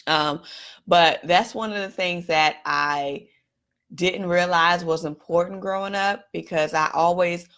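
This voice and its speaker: A woman from Washington, D.C. hosting a live stream, telling her own story in a candid, reflective way straight to viewers, her voice a little raspy. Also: on the low side, fairly even intonation, measured pace, fairly bright tone. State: slightly raspy voice. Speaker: host.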